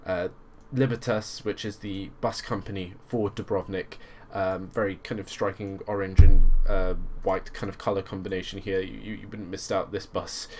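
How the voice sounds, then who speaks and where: A man's voice: low voice, flat delivery, moderate pace, faint music behind. A man hosting a gaming video, talking through the gameplay in a casual, rambling way and leaning on lots of "kind of" hedges. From Croatian background.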